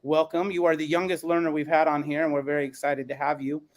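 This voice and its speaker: A man with a somewhat high-pitched voice moderates an educational panel. He speaks like an encouraging educator, affirming guests and setting up questions for them. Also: level tone, fast talker.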